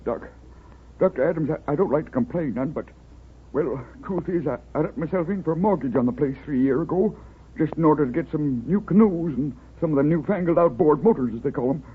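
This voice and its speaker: An actor playing a worried, humble small-town boathouse owner in a 1930s radio drama, with a rural American accent and a hesitant, stammering, folksy manner. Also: male voice, on the high side, expressive, fairly quick, slight background noise, natural voice. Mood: hesitant, uneasy. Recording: muffled, tinny 1930s radio recording.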